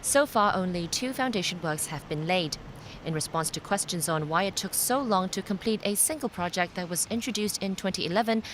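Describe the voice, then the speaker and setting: A woman's voice: on the low side, slight background noise. A woman narrating a scripted television news report as a reporter.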